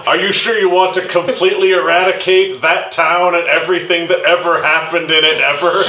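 Guy doing a offhand cynical type, bright forceful voice, echoey room, improv radio play. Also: fairly high pitch, level tone, brisk. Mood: dutiful, casual. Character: laconic cynical scoundrel, later a presidential aide. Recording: echoey room, distant mic.

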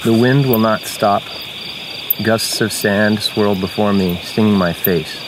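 A woman giving a measured, literary reading of descriptive nature writing. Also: a bass voice, background noise.

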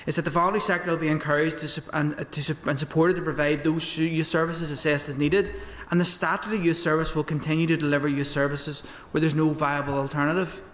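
A man's voice: a little high, little pitch movement, fast talker, hardly any pauses, a bit bright. A man, giving evidence at length to a committee. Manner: light voice, explains at length. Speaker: youth sector witness. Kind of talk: committee hearing testimony. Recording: echoey hall with faint noise.

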